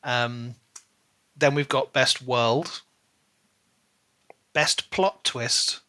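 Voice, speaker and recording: A man's voice: medium pitch, steady tone, extremely slow, bright, forceful tone, no echo. A man co-hosting a casual book discussion podcast, talking in a rambling way and often rephrasing himself. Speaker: podcast co-host.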